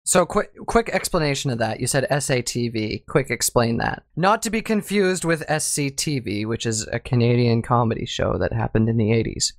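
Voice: medium pitch, animated, normal speed, few pauses, no room sound. A podcast host who rambles through casual chat and laughs often.

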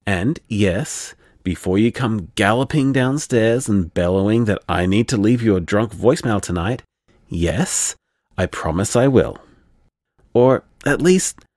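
A man's voice: on the low side, a little mellow, no echo, studio quality, exaggerated voice. An actor playing a rambling, self-deprecating young man. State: tipsy. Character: shy affectionate young man leaving voicemails. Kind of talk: audio drama voicemail monologue.

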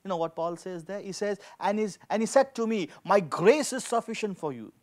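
A man's voice: sits high, lively intonation, brisk. A man in his forties preaching a sermon as a pastor, emphatically, repeating key phrases for effect. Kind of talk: sermon with scripture reading and prayer. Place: indoor studio with dark backdrop, at a lectern. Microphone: handheld microphone.

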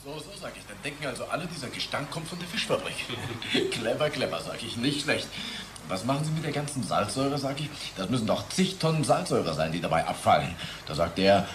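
A man's voice: mid-range voice, big pitch swings, a little fast, background noise, natural voice. Man as a cynical storyteller, echoey room. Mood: amused. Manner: chuckling, wry. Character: amused, cynical man telling an anecdote. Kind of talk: German radio drama acting.

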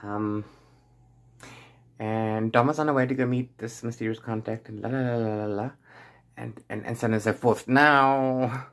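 A man's voice: mid-range voice, moderate pace. Rambling middle-aged man chatting about books. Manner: rambling, animated, exasperated asides. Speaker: book reviewer vlogger. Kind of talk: casual unscripted book chat to camera. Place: small room at home with bookshelves.

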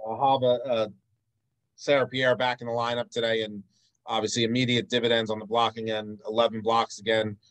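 A man's voice: mid-range voice, level tone, fairly quick, a bit bright, no echo, poor audio. A man moderating a sports press conference, calling on reporters and asking questions.

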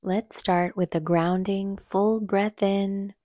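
A woman leading a guided meditation in a soothing, gentle, unhurried manner, with soft breathy phrase endings. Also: medium pitch, steady tone, dry recording, clean studio sound. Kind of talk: calm guided meditation narration.

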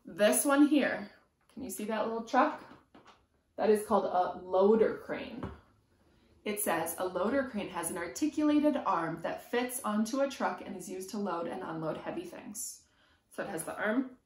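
A woman's voice: medium pitch, expressive, normal speed. A woman in her thirties, a preschool teacher reading aloud expressively to young children, with rhythmic, emphatic delivery. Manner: rhythmic, sing-song, emphatic on sound words. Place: small room at home, indoors. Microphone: camera a few feet away. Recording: roomy echo from camera distance.

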